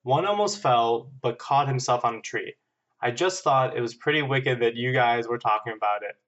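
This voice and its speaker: A man's voice: medium pitch, moderate pace, a bit bright. A man guesting on a podcast, reading emails and chatting casually, self-deprecating, often restarting his phrases, on a slightly dull microphone. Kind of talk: casual chat and reading listener emails aloud.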